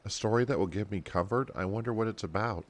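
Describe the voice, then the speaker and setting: A man's voice: lowish voice, fast talker, natural voice. A man voicing a polite, worried young girl from a fantasy game, reading her lines in a simple, earnest way. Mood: curious. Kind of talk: game dialogue read aloud.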